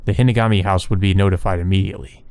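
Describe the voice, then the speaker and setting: A man's voice: sits low, steady tone, rapid-fire, darkish tone, no echo. A man reading visual novel story text aloud as narration for a let's play.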